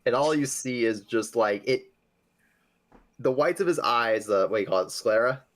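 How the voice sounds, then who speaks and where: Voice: medium pitch, expressive, normal speed. A man improvising character lines and descriptions in a tabletop roleplaying game, in a casual way.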